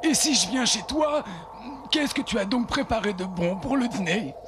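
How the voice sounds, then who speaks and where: A man's voice: very high voice, expressive, normal speed. A man playing an older, good-natured, fretful village doctor in a French cartoon dub, with background noise. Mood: fond, teasing.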